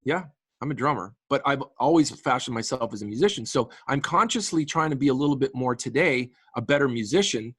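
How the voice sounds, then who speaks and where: A man's voice: mid-range voice, expressive, fairly quick, fairly bright tone, husky, dry recording, studio quality. Enthusiastic rambling man, late forties. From Canadian prairies. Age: late 40s. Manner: enthusiastic, rambling with frequent restarts. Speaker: guest musician. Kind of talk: casual interview chat.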